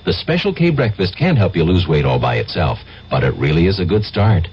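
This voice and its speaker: Low, animated American radio pitchman. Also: a little fast. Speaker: commercial spokesman. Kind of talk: conversational scripted radio advertisement. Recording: dull vintage radio recording, faint hiss.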